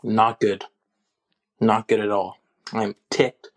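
A man's voice: lowish voice, flat delivery, slow pace. A man leaving a grumbling, sulky message about his team. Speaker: caller.

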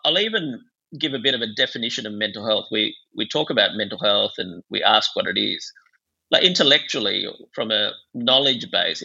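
Guy from bangladesh, psychiatrist being interviewed, sharp forceful voice kinda husky, thinks out loud and explains stuff. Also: on the low side, dull audio. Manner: discursive, explanatory, intellectual. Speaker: psychiatrist and author, interview guest. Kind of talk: thoughtful interview discussion.

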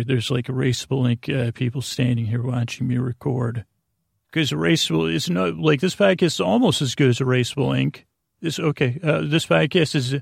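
A middle-aged American man with a creaky, gravelly voice, hosting a sleep podcast in a meandering, halting, whimsical monologue. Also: fairly high pitch, no echo, clean studio sound. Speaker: podcast host. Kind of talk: rambling bedtime monologue to help listeners sleep.

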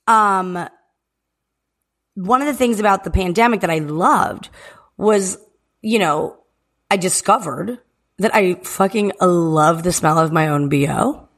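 Woman podcast cohost, riffing and joking, casual banter. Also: fairly low pitch. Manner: jokey, riffing, rapid asides. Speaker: podcast co-host. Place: home in Texas.

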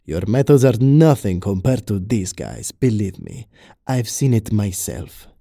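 An actor playing a menacing, taunting gangster boss who sprinkles in Spanish words, in a crime audio drama. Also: male voice, lowish voice, very animated, measured pace, creaky, dark tone, no echo, studio quality, heavily acted. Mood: coolly persuasive.

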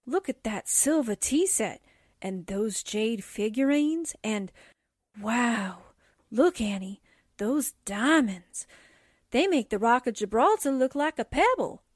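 A woman's voice: on the high side, expressive, slow, dry recording, studio quality. A woman playing a brash, wide-eyed newspaperwoman sidekick, slangy and exclaiming, in 1940s radio drama style. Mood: awestruck.

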